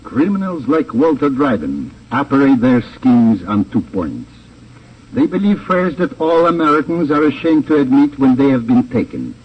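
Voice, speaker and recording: A man's voice: medium pitch, very animated, slightly rough, highs cut off. A man gives a formal, earnest scripted moral address in the style of 1950s radio. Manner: formal, earnest, deliberate phrasing. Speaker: guest actor giving closing address. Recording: distant, band-limited old radio recording, faint noise.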